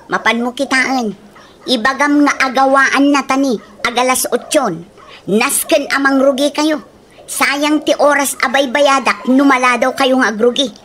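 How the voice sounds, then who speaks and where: A woman playing a high-pitched, bossy farm boss. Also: a little slow, fairly bright tone, slight background noise. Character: brisk, tight-fisted woman farm boss, the old man's daughter. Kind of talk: comedy radio drama acting.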